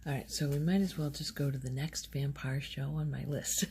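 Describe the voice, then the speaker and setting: A woman hosting an ASMR video, speaking softly in a casual, rambling show-and-tell way and often slipping into a whisper. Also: a bass voice, steady tone, moderate pace, slight background noise.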